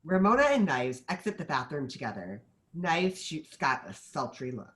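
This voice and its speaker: A woman's voice: sits low, very animated, moderate pace. A woman reading stage directions as scripted narration at a screenplay table read.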